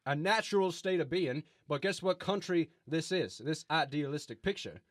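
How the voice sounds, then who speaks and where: Man playing a stammering, zealous crackpot preacher, higher-voiced. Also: animated, moderate pace, dry recording, clean studio sound, close to an everyday voice. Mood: insistent. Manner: stammering, emphatic, repeats himself. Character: ranting conspiracy-preaching male pastor, folksy and indignant. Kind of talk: improvised radio comedy sketch.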